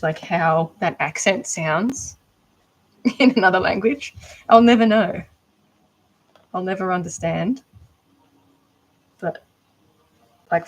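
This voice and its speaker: A rambling, chatty Australian woman with a slightly low voice, talking her thoughts through out loud as she works. She keeps the tone casual and conversational, like a crafter chatting to viewers on a live stream. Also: poor audio. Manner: chatty, rambling, thinking aloud. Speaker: art doll maker. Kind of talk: casual livestream chat while crafting.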